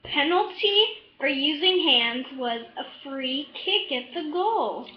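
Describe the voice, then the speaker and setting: Child storybook reader, slow pace, expressive and halting, echoey, slightly dull recording. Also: high voice. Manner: halting, expressive, with drawn-out words and excited shouts. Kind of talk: animated read-aloud of a children's picture book with asides.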